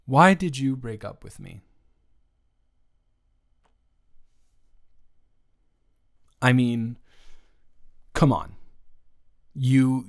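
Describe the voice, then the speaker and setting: A man playing an earnest, flustered ex-boyfriend in an intimate improvised audio roleplay, stammering and trailing off as he talks. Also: medium pitch. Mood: nervous, reassuring.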